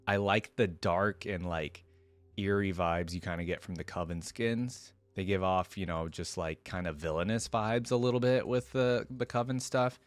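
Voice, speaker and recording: Low-voiced man giving casual game commentary, dry sound. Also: steady tone, moderate pace, no echo. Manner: informal, slangy, addresses viewers as guys. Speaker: reaction video host.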